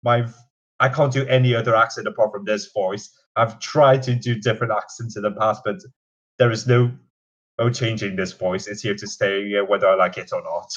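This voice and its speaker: A man's voice: on the low side, little pitch movement, normal speed. Young man with a mixed Canadian-British accent. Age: 20s. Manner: hesitant, many fillers. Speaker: interviewee. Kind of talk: casual interview. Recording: dull lo-fi sound.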